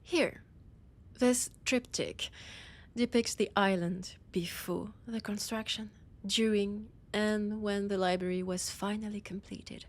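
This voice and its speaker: A woman playing a possessive vampire scholar with a French accent, in a theatrical, breathy audio roleplay monologue with dramatic pauses. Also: mid-range voice, steady tone. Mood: storytelling, grand. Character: annoyed, possessive female vampire scholar, ancient library guide.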